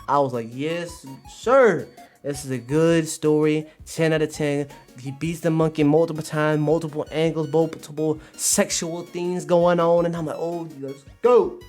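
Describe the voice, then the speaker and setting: A male streamer doing a casual, slangy livestream review, exclaiming in an animated way and repeating his phrases over and over. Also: a little high, normal speed, hardly any pauses, soft background music. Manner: slangy, informal talk.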